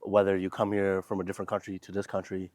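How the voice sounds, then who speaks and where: Host: man with a very low voice, relaxed and slangy, dry close mic. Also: fast talker, no echo. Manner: laid-back, slangy, rambling questions with many fillers. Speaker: podcast host. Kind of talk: casual interview chat.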